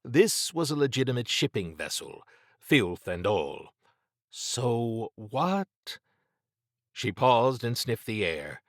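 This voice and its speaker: A man reads suspense fiction as an audiobook narrator. His pace is slow and deliberate, his pitch sits in the middle, and the close studio recording is very dry. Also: very animated, no echo. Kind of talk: scripted dramatic fiction narration.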